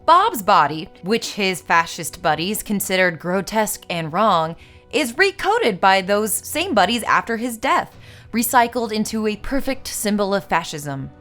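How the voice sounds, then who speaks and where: A woman's voice: mid-range voice, sing-song, unhurried, a bit bright, soft background music. A young woman hosting a scripted film-analysis video essay, narrating with wry, sarcastic asides.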